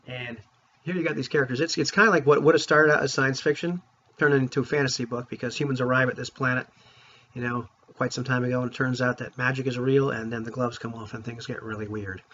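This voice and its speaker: A man talking casually to viewers as a tabletop gaming vlogger, in an informal, rambling, opinionated way, with a husky voice. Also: mid-range voice, steady tone, quick pace, a bit bright, a little hiss or hum. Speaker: vlogger on tabletop roleplaying games. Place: room at home. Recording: dull, lo-fi sound.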